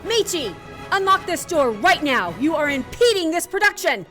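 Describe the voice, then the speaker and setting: A woman's voice: very high voice, big pitch swings, moderate pace, pushed and bright, soft background music, heavily acted. A woman playing a stern, unsympathetic drama teacher in an audio drama. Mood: stern, exasperated.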